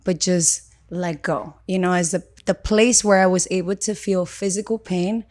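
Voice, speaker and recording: A woman from Venezuela, a podcast guest in casual roundtable conversation, earnest and lively, tagging her sentences with "you know" and "right.". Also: lowish voice, normal speed. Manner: lively storyteller, slips into quoted voices.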